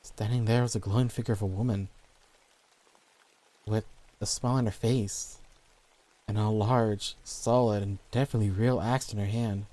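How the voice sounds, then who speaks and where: A man's voice: on the low side, steady tone, normal speed, long pauses, mellow. A man reading scripted horror stories as the narrator.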